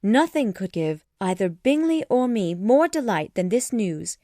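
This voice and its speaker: A woman's voice: medium pitch, big pitch swings, measured pace, dark tone, no room sound, clean studio sound. A woman voicing a sweet, tender-hearted young sister who exclaims with joy. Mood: overjoyed.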